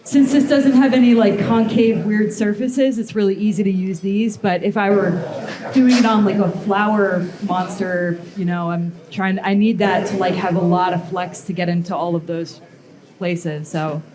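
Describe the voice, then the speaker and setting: A woman demonstrates metalwork in a casual, informal way, with a medium-pitched voice. She explains each step haltingly, thinking out loud as she works. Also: steady tone, a crowd in the background. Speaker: metalworking artist giving a demonstration. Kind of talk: casual live workshop demo, explaining steps. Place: live blacksmithing demonstration before onlookers.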